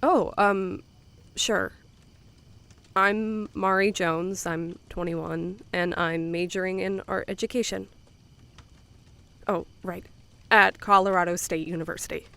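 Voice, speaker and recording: A woman's voice: fairly low pitch, fairly even intonation, slow pace, creaky, long pauses, a bit bright, clean tone, exaggerated voice. A woman playing a shy, friendly 21-year-old art student in an audio drama, tentative in manner. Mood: hesitant.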